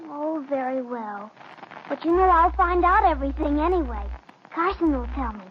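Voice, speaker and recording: A pert, headstrong rich young girl in a vintage radio play. Her voice is high and light. The recording is dull and lo-fi with a faint hiss behind it. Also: animated, moderate pace. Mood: pert, willful. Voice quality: pert, willful. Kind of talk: 1940s radio acting.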